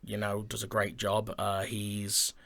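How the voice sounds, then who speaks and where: A man's voice: on the low side, monotone, unhurried, creaky, a bit bright. A man co-hosting a casual conversation about productions, with a dry, joking manner. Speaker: audio drama maker, co-host.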